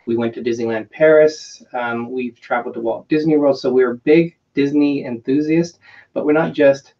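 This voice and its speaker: A man's voice: mid-range voice, fairly even intonation, moderate pace. A man co-hosting a travel vlog, chatting casually on a livestream. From England, by home country; says no English accent. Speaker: co-host of a travel vlog channel. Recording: dull, slightly lo-fi livestream sound.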